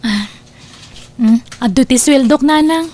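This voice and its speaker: Casting: actress as a meek, pleading young Ilocano Filipino daughter for family audio drama, clearly high-pitched. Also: female voice, unhurried, a little mellow.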